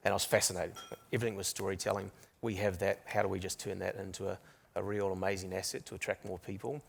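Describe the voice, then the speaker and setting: A man's voice: deep voice, gravelly. A man with a New Zealand accent, speaking candidly as a panelist at a business forum, with restarts. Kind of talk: panel discussion. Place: conference stage.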